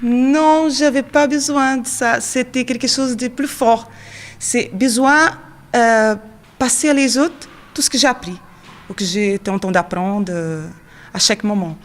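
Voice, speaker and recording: A woman in her thirties from Brazil, a drama teacher and actress, answering interview questions in French with a Brazilian Portuguese accent, often pausing with fillers and searching for words. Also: a little high, fairly even intonation. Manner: searching non-native French, frequent fillers and quick laughs.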